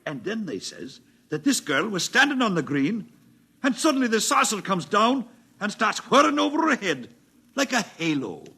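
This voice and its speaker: An excitable beat cop with a high, husky male voice that swings wildly up and down. He tells things in a plain, colloquial way, as in a 1950s radio play. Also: normal speed, full character voice. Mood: excitable, insistent. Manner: colloquial, working-class storytelling. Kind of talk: 1950s radio drama acting.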